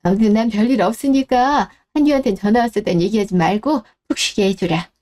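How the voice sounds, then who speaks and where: A woman voicing a gentle, self-effacing elderly mother in a radio drama who speaks in a soft, apologetic, consoling way. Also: mid-range voice, quick pace, lightly acted. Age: older.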